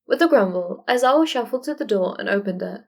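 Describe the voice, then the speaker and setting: A woman with a medium-pitched voice narrates a story. She reads in a scripted audiobook style, as the narrator of fan fiction. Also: expressive, fast, vocal fry, darkish tone.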